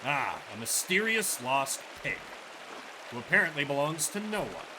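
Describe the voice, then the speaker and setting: A man's voice: fairly high pitch, very animated, normal speed, noisy background. A man voicing a stern, threatening gamekeeper in a video game, curt and commanding.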